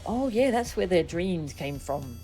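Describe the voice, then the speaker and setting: Lively low-pitched woman streaming a game. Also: measured pace. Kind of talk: reading game dialogue aloud, with casual commentary. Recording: game music behind. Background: game music.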